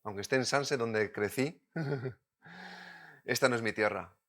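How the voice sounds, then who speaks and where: A man from Madrid with a Madrid Spanish accent, preaching a sermon, moving between loud urgent points and quiet, close asides. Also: mid-range voice, expressive, takes it slowly. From Spain.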